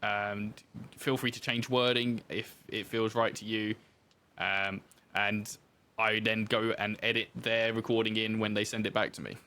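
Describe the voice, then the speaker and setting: A man's voice: fairly low pitch, level tone, measured pace, sharp tone. A man talking casually as a guest in a podcast interview, telling anecdotes with self-deprecating asides.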